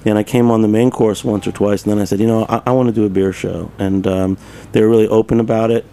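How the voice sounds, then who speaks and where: Mellow, lowish male radio guest. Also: little pitch movement, fast talker, creaky. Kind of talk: casual interview chat.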